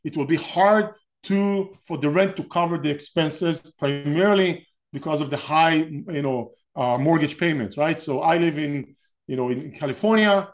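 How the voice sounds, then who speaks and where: A man's voice: fairly high pitch, moderate pace. A man in his forties from Israel with an Israeli accent, hosting an investing webinar in a rambling, explanatory way with frequent restarts. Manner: many restarts and fillers, explanatory. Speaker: webinar host. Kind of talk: webinar presentation.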